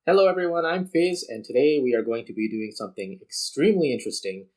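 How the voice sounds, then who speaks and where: A man's voice: mid-range voice, animated, fast talker, a little mellow. A man hosting a gaming video, giving casual unscripted commentary and explaining things directly to the viewers.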